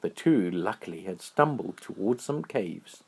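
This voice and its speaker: A man narrating a children's bedtime story in a dramatic, drawn-out storytelling manner. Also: low voice, animated, creaky, a little mellow, breathy edge.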